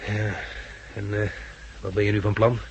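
An actor playing a practical, cautious survivor with a direct, questioning manner, in 1960s Dutch radio drama style. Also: male voice, lowish voice, little pitch movement, moderate pace, lightly acted. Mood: matter-of-fact. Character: practical, cautious male survivor leading the search. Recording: noisy vintage 1969 radio recording.

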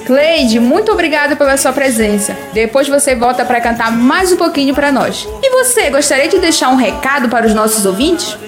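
Female radio host, high voice, from Brazil, upbeat scripted links over faint music. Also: animated, brisk, hardly any pauses. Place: radio recording studio.

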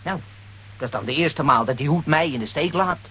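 An actor playing a boastful, bumbling middle-aged comic hero in a children's radio play, blustering, stammering and exclaiming. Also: male voice, a little high, a little fast, pushed and bright, breathy edge. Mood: indignant.

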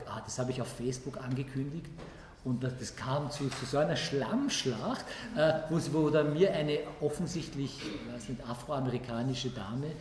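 A man's voice: mid-range voice, a little mellow. A man speaking spontaneously as a lecturer answering an audience, often breaking off and rephrasing his sentences. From Austria. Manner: halting, self-correcting, breaks off and rephrases sentences. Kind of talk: spontaneous spoken answers in a public discussion. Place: indoor public event room. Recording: echoey room with clear background noise.

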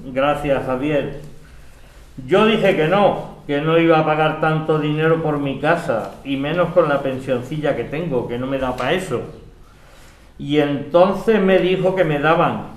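Un actor con acento castellano que interpreta a un anciano pensionista humilde y resignado, de habla llana, en una ficción sonora. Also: male voice, fairly high pitch, measured pace, close to an everyday voice. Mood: resigned. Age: older. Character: Don Emilio, humble old pensioner tenant. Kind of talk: scripted audio drama. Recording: echoey room.

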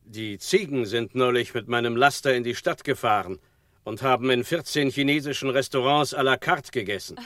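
An actor plays a droll male caretaker in a radio play. His voice is medium in pitch and recorded dry. He talks laconically, with a deadpan delivery. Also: moderate pace, no echo, lightly acted. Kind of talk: radio drama dialogue.

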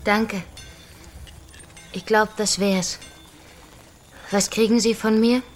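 A woman's voice: medium pitch, steady tone, slow, soft background music, lightly acted. An actress playing a poor, shy young country woman in a radio drama, speaking timidly and politely.

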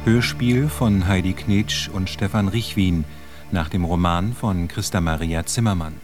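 A man's voice: low voice, a little slow. A man reading a scripted radio drama title announcement as the announcer. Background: music.

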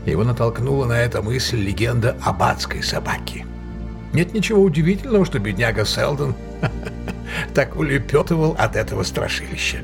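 A man's voice: mid-range voice, big pitch swings, moderate pace, vocal fry. An actor playing a shrewd male detective who lays out his deductions emphatically and theatrically in an audio drama. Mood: explanatory, wry. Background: prominent music.

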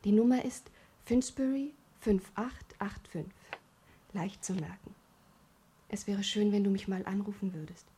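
An actress playing a lovestruck, headstrong young woman in a German radio crime drama, breathy, intimate and emotional. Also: medium pitch, measured pace, warm tone, a little hiss or hum, natural voice. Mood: intimate, tender. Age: young adult. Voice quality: breathy, often near whispering.

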